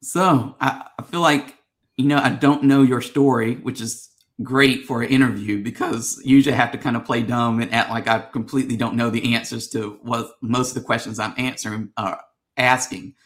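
A man's voice: mid-range voice, fairly even intonation, moderate pace, fairly bright tone. A man hosting a casual interview show, asking rambling, friendly questions with plenty of ums and restarts. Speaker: podcast host.

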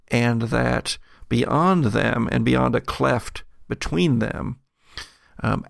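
Gravelly male game master, unhurried and dry-miked. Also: mid-range voice, no room sound. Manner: halting, deliberate descriptions. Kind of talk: improvised descriptive narration of a tabletop game.

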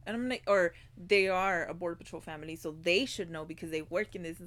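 A chatty woman from the border region with a Mexican-American accent, talking loosely in a group podcast. Her voice sits a little low and she laughs easily between points. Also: fairly quick, a bit bright. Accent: US border Mexican-American English. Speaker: podcast co-host. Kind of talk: casual group chat.

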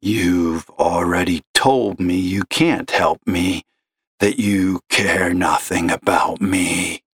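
A man doing a gravelly, miserable old caller. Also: deep voice, no room sound, full character voice. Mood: gloomy, bitter. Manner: slow, gloomy and accusing. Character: miserable gravel-voiced older male caller. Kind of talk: audio story reading.

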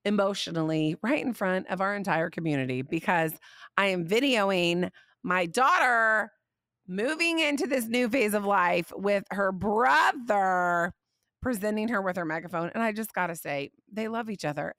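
A woman in her forties hosting a casual faith podcast, talking in a chatty, animated way, her voice a little scratchy from a recent illness. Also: medium pitch, normal speed, no room sound, studio quality. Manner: chatty, warm-hearted, animated storytelling. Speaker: podcast host.

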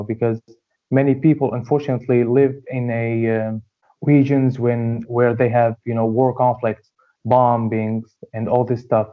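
Young Syrian man on a tinny line. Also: mid-range voice. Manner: friendly, with many fillers and repeated words. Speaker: guest, dental or medical student. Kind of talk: interview. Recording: tinny phone-like remote line.

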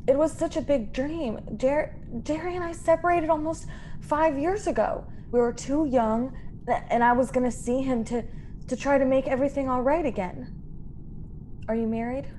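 An actress playing a frightened young woman stowaway in a science fiction radio drama, halting and emotional. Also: sits high, warm tone, a little hiss or hum, close to an everyday voice. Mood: wistful, subdued. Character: frightened young female stowaway, pleading, naive and wistful. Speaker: actor in a radio play.

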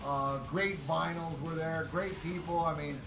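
A man co-hosting a podcast, talking in a loud, powerful voice in a brash, profane, boastful way. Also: on the high side, fairly even intonation, unhurried, echoey room, music in the background. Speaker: podcast co-host. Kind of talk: casual podcast chat.

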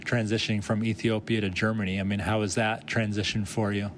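A man hosting a casual interview podcast, relaxed and encouraging, often answering with short mm-hmms. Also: on the low side, a little fast, slight background noise. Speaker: podcast host. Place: conference venue.

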